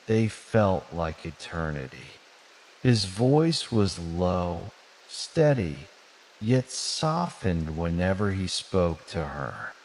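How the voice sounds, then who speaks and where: Male narrator, gentle bedtime story, very slow delivery, lively intonation, measured and soothing, long reverberant tail. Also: on the low side.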